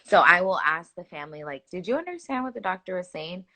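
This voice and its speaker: A young woman nurse talks earnestly and with feeling, often restarting her sentences and filling gaps with "like." Her voice sits fairly low but sounds bright and forceful. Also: quick pace. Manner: earnest, animated, frequent "like" fillers and restarts. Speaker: hospital nurse. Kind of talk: conversational interview answers.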